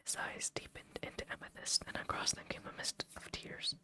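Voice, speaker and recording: A reader narrating a classic novel entirely in a soft, steady whisper. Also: a little fast.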